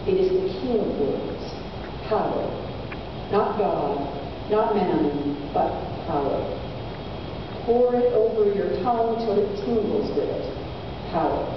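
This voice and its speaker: A woman reading aloud as a cold, ruthless male oligarch, in deliberate dramatic oratory from a literary work. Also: medium pitch, expressive. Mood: gloating, deliberate. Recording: echoey hall, distant mic, muffled, noisy.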